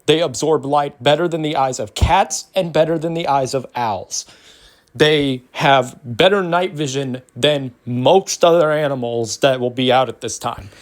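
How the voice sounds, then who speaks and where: A man giving a detailed educational explainer in ASMR style, restating key points for emphasis as he goes. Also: a little high. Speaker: educational content creator.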